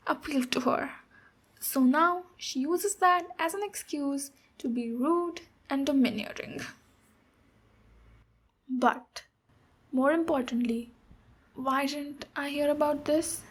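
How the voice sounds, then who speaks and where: A woman playing a boisterous, protective female ogre warrior in an ASMR roleplay, speaking deliberately with pauses between phrases. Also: high voice. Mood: gently stern. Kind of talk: ASMR roleplay, storytelling and direct address.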